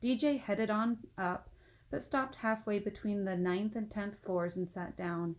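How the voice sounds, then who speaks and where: A woman reading a children's book aloud as an expressive storyteller. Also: medium pitch, measured pace. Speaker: storybook reader.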